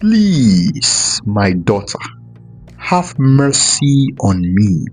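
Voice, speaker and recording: A man voicing a frail, ashamed old beggar in a storybook tale, pleading and halting. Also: fairly low pitch, big pitch swings, a little mellow, faint music behind, full character voice. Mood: weeping plea. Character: frail, starving, ashamed old male beggar, once proud. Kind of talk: storybook character voice.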